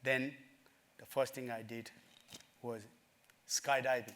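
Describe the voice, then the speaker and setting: A middle-aged man from Nepal, telling his life story in a summit talk, earnest and halting, with many fillers. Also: mid-range voice, little pitch movement, background noise. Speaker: speaker at a summit. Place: conference stage.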